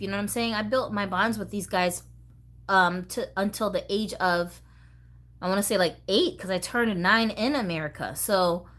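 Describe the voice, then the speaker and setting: A middle-aged woman from the US East Coast hosting a casual vlog, talking to viewers in an expressive, slangy, chatty way. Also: mid-range voice. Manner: animated, slangy, expressive, addresses viewers directly. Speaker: host of a doll collecting channel.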